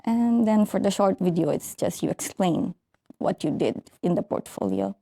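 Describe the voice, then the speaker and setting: A young adult woman from Bangladesh, a recent architecture graduate, answering interview questions softly with some hesitant fillers. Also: medium pitch, moderate pace, husky.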